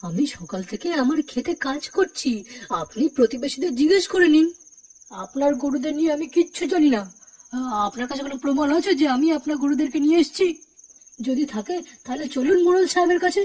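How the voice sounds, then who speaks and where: A woman's voice: sits high, animated, fairly quick, a little mellow, low-quality recording. A woman voicing a sly, dishonest village farmer man in a cartoon, wheedling and stammering in false innocence. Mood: feigned innocence. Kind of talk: cartoon character acting.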